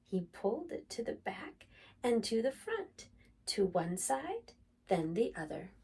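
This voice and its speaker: A woman reading a picture book aloud for young children in an expressive, dramatic, deliberate storytelling manner. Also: mid-range voice, husky, distant mic. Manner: animated, dramatic, deliberate phrasing for small children.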